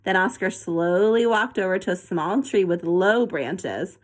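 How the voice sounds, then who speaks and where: A woman reading a children's story aloud with an expressive, animated delivery. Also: fairly low pitch, unhurried. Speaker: storybook reader.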